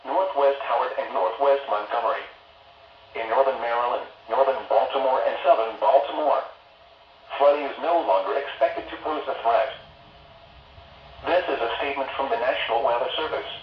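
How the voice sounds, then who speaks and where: A man's synthetic American voice reading scripted weather bulletins in a formal, stilted way, as an automated announcer on weather radio. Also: medium pitch. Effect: weather radio broadcast. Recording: muffled, faintly noisy, echoey radio broadcast.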